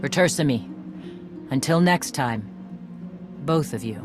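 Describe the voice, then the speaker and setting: A woman acting a tough, battle-proud warrior clan chief in a video game, gruff and decisive. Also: extremely low pitch, expressive, unhurried, music in the background. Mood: firm, resolute.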